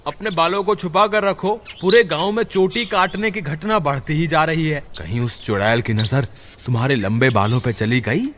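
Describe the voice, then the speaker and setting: A man playing an anxious village husband who frets protectively over his wife in an animated horror story, speaking dramatically. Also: on the high side, moderate pace, a little hiss or hum, close to an everyday voice. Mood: alarmed. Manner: worried, dramatic, expressive.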